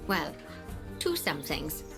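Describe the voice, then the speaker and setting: A polished, playful zoo mentor from a video game. Her pitch moves around expressively as she gives encouraging instructions with little theatrical pauses. Clear background music plays under her voice. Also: big pitch swings, exaggerated voice. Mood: playful, welcoming. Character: cheerful, polished zoo mentor guiding a new manager. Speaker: tutorial guide. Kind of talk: scripted game tutorial narration.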